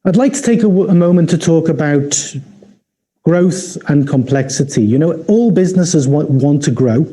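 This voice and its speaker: Liverpool man with a mellow, dark voice. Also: on the high side, expressive. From Liverpool, England. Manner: measured, storytelling, occasional restarts. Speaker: company presenter. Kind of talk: live-streamed business presentation.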